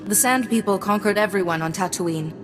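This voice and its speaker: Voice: mid-range voice, brisk, fairly bright tone, long reverb tail, soft background music, natural voice. An actor playing a cool, impatient Jedi hero with a terse, dismissive manner in a video game. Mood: scornful.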